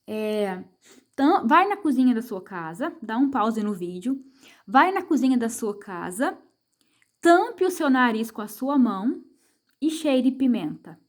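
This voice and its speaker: A woman's voice: a little high, big pitch swings, measured pace. Chatty Brazilian woman teaching kids. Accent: Brazilian Portuguese. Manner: chatty, asks and answers own questions; frequent tag questions to the viewer. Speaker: science teacher. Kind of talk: online science lesson for children.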